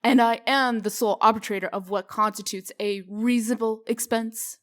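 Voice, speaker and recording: A woman voicing a brisk, shrewd female official in a comic dub, firm, dry and sardonic in manner. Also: fairly high pitch, steady tone, natural voice. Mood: stern, businesslike.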